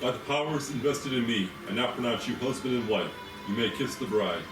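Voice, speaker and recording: A solemn old preacher in his seventies played by a man at a script reading, speaking ceremonially at medium pitch. He is off mic in an echoey room with music behind. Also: a little fast, distant mic, natural voice. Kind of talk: screenplay table read.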